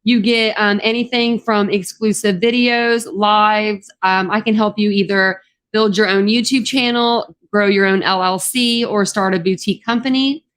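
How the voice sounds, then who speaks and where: A woman's voice: medium pitch, fairly even intonation, normal speed, a bit bright. A woman with a Southern drawl, chatting casually on a livestream. Her voice is a bit scratchy from the morning, and she rambles with plenty of ums. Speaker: true crime YouTuber.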